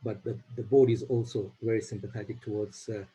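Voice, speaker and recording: A man from Uganda, a native Swahili speaker, speaking as a charity trustee on a video call, halting and repeating words as he goes. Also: lowish voice, steady tone, warm tone, breathy edge, rough recording. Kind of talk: informal remarks on a video call meeting.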